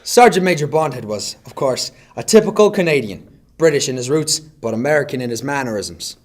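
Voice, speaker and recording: A man's voice: medium pitch, animated, a little fast, lightly acted. A man playing an earnest, over-polite Canadian policeman who narrates a comic radio play in a mock-serious way. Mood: mock-serious narration.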